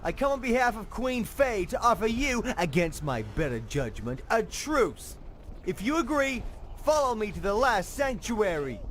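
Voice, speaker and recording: A curt, hostile envoy with a highish, lively voice. He speaks coldly and to the point in a game cutscene. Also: normal speed, slight background noise. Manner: curt, cold.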